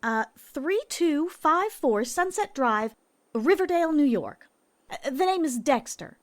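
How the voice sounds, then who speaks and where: An actress playing a loyal friend in an old-time radio drama, speaking in a brisk, soothing way. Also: female voice, high-pitched, big pitch swings. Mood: businesslike. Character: loyal young woman, caring friend, practical.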